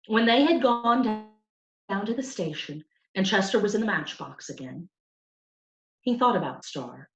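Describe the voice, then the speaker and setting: A woman reading a children's novel aloud as a storyteller, with an animated, deliberate delivery. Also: mid-range voice. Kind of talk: expressive scripted audiobook narration for children. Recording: roomy, dull lo-fi home recording.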